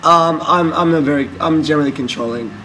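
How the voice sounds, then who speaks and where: A man's voice: fairly high pitch, fast talker, sharp tone, slight background noise, low-quality recording. A man, a musician guest telling rambling, laid-back stories in a casual interview.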